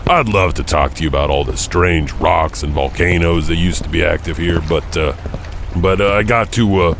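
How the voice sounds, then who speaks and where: Male host as goofy custodian storyteller: low, creaky, joking, rambling, with clear ambient noise. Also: normal speed. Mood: chatty, jovial. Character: folksy, goofy male janitor telling strange tales. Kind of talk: in-character podcast monologue.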